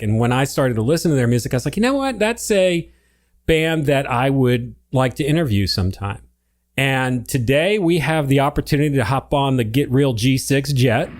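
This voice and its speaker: An American man hosts a podcast interview in an easy, chatty way. His voice sits at a middle pitch, and he laughs often. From Connecticut, USA. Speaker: podcast host. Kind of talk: casual interview chat.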